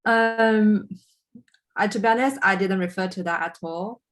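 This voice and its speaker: Slow, hesitant woman translator. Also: mid-range voice. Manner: hesitant, searching for words, many fillers. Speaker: translator and artist. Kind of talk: online panel discussion.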